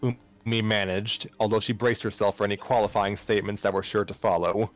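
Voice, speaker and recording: Guy narrating a story live, lowish voice, trips over lines and rereads, music playing under it. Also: fairly even intonation, brisk. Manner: stumbles and rereads phrases. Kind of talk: scripted narration, read aloud live.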